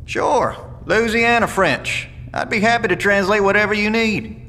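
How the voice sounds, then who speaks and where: Audio drama convoy leader male actor: high, bright voice, folksy and courteous, recorded in a reverberant room. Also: normal speed. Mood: obliging. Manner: polite, folksy. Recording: reverberant room with faint noise and rumble.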